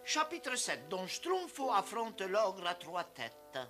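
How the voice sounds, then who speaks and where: High-voiced, swaggering little cartoon hero. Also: male voice, big pitch swings, slow pace, bright, forceful tone, faint music behind, heavily acted. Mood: boastful. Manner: theatrical, swaggering. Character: boastful, gallant little Smurf storybook hero. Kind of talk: animated cartoon dialogue.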